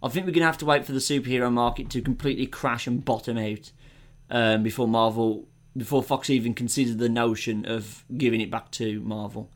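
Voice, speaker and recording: A man's voice: medium pitch, fairly even intonation, a little fast. Chatty opinionated man. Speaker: podcast co-host. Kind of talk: casual film chat.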